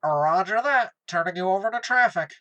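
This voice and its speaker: A woman's voice: mid-range voice, lively intonation, moderate pace, fairly bright tone, close to an everyday voice. Woman playing a launch controller, dull radio sound. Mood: efficient. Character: crisp, efficient female spaceship launch controller. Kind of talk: retro radio drama acting.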